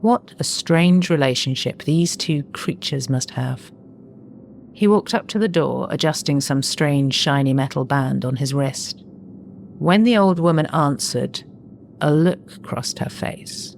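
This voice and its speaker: A woman voicing a contented, naive scavenging monster who narrates a horror audio story in a measured, expressive way. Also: low-pitched, gravelly, slight background noise. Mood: curious, puzzled. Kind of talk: scripted first-person horror audio story.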